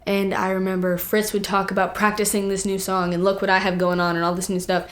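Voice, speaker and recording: A woman's voice: mid-range voice, fast. Teenage girl, casual storyteller. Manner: animated retelling with acted-out quotes and frequent "like". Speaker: young singer and songwriter, interview guest.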